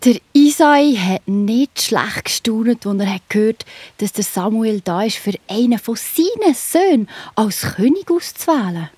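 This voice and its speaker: A woman's voice: fairly high pitch, expressive, a little slow, a little mellow, breathy edge, no echo. A woman with a Swiss accent telling a Bible story to children as the narrator. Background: music.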